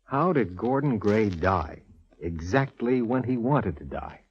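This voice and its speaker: Plodding american cop from old radio drama, low voice, real slow, confused, muffled old recording. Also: male voice, animated, husky, dry recording. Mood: puzzled. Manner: slow, questioning, puzzled. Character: puzzled, plodding male police inspector. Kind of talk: 1940s radio acting. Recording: dull vintage radio recording.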